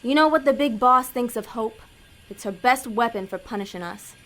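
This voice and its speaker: A woman plays a sharp-tongued lady demon in an audio drama. Her voice sits fairly high, and she scolds and schemes in blunt street slang. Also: moderate pace, natural voice. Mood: impatient.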